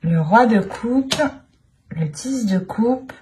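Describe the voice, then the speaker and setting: A woman's voice: fairly high pitch, lively intonation, takes it slowly, dark tone, slight background noise. A woman giving an improvised tarot reading as a channel host, speaking in a searching way and often repeating her phrases.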